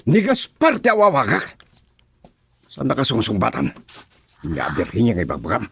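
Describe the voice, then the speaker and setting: An actor playing a gruff boss and father in a radio drama, irritated and complaining. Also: medium pitch, wildly swooping pitch, normal speed, background noise. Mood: annoyed.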